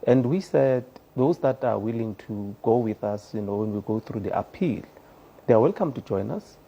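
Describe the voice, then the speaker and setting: A man's voice: fairly low pitch, lively intonation, moderate pace, vocal fry, warm tone, slightly rough, poor audio. A South African man speaking as a studio guest on a panel, earnest and hesitant with many fillers. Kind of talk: panel discussion.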